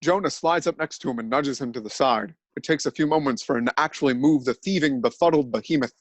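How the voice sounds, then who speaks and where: A man narrating a scripted dramatic reading, telling absurd events in an earnest, deadpan way. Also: a little high, no room sound.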